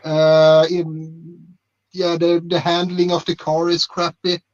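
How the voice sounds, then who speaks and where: A man's voice: a little high, monotone, takes it slowly. A man from Sweden, calling in while driving, blunt and hesitant with many uh's. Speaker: caller. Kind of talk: casual chat. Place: driving a car. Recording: dull lo-fi call audio.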